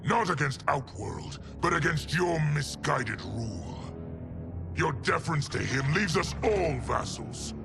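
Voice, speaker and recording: An arrogant warlord usurper commands with forceful scorn. The delivery is haughty, defiant video game villainy over a loud score. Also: male voice, a little high, sing-song, unhurried, gravelly, music in the background, full character voice.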